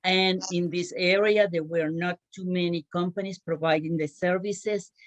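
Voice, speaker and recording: A middle-aged woman from Cuba telling her own career story as a panelist, with a hesitant, pausing delivery. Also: fairly low pitch, fairly even intonation, muffled recording. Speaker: panelist, translation business owner. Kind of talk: panel talk, personal career account.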